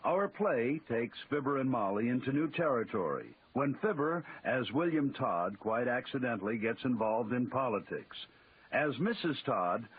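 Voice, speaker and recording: A man's voice: mid-range voice, narrow, tinny audio, slight background noise. An American man hosting a radio theater, reading a formal scripted introduction in old-time broadcast style. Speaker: host.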